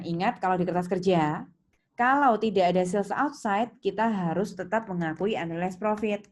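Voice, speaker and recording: A woman with an Indonesian accent teaching an accounting lesson step by step, posing questions and answering them herself in an instructive manner. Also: on the low side. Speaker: accounting lecturer. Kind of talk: recorded lesson, step-by-step explanation. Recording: clearly noisy, with keyboard clicks.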